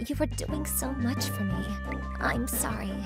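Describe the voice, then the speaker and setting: A woman's voice: fairly high pitch, a little slow. A woman playing an earnest, candid young bride-to-be and devoted daughter in a manga dub. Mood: tender, apologetic. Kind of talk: scripted anime-style dub dialogue. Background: music.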